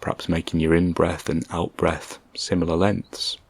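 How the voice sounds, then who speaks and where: A man leading a guided meditation in a gentle, calm, measured way, leaving long pauses between short instructions. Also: low voice, vocal fry, breathy edge, dry recording.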